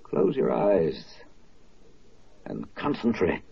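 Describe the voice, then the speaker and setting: An actor playing a solemn, rhetorical narrator in a 1940s radio drama, with deliberate, oratorical phrasing. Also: male voice, fairly low pitch, big pitch swings, gravelly, a little hiss or hum, heavily acted. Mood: solemn, commanding. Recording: dull vintage radio recording, faint music.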